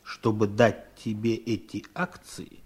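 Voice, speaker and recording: A man's voice: fairly low pitch, flat delivery, takes it slowly, darkish tone, slightly rough. An actor playing a scheming, coaxing middle-aged father in a 1970s Soviet radio drama. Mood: sly, persuasive. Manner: insinuating, coaxing.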